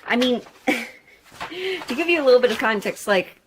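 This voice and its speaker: A woman's voice: a little high, expressive, measured pace, a little hiss or hum. A woman chatting casually in a sewing vlog, thinking aloud in a candid, rambling way. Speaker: sewing vlogger.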